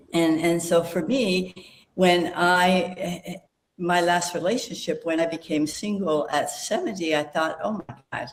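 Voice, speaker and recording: Woman, 80, panel guest; reflective, rambling, lower voice, slight background noise. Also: poor audio. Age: 80s. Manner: reflective, rambling, many fillers and restarts. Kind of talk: casual panel conversation.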